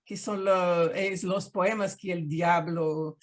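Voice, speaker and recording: A woman's voice: on the low side, steady tone, slow pace. An older Brazilian woman speaking Spanish with a Portuguese accent, chatting expressively over a video call and dotting her talk with tag questions. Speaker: guest artist. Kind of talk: casual interview chat.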